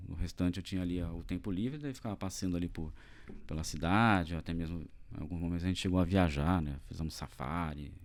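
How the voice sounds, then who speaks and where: Brazilian man, podcast guest, very low voice, rambling with fillers. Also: fast talker. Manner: rambling, many fillers and tag questions. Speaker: podcast guest, tax executive. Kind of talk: casual interview chat.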